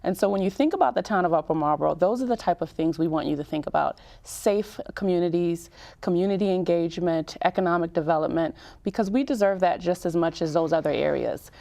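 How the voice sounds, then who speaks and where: A woman in her thirties from Cameroon, speaking as a mayor in an interview in a measured, explanatory way with emphatic lists. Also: fairly low pitch, creaky, warm tone. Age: 30s. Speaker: town mayor, interview guest. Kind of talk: public-affairs interview.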